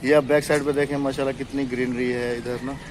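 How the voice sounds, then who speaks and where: A man's voice: mid-range voice, little pitch movement, quick pace, slight background noise. A man with a Pakistani Urdu accent vlogging from a rooftop, chatting to his viewers and teasing his wife. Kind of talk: casual vlog narration. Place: rooftop outdoors.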